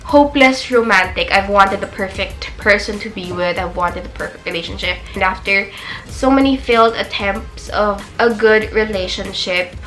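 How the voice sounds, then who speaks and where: A woman's voice: medium pitch, normal speed, a bit bright, faint music behind. A twenty-year-old Filipino woman with a Filipino accent, chatting casually as she answers questions for her beauty vlog, mixing English and Tagalog. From Philippines. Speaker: beauty vlogger. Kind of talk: casual Q&A chat. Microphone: camera.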